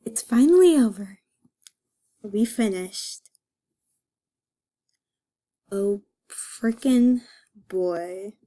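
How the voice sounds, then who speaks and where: A woman talks casually and with exasperation, sharing opinions in slangy phrases. Her voice is high and lively in pitch, and she takes her time. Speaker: amateur reader and commentator.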